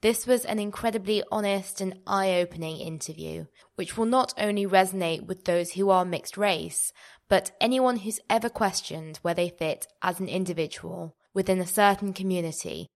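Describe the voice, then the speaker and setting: A woman hosting a podcast, reading a measured scripted introduction and then asking her guest questions. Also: mid-range voice. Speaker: podcast host.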